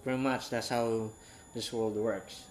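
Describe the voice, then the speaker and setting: Young man, 18, from Southeast Asia, non-native English speaker, frank and halting, stops to search for words; casual confessional monologue. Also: mid-range voice, little pitch movement, slight background noise. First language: not English. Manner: halting, rambling, frank, self-correcting.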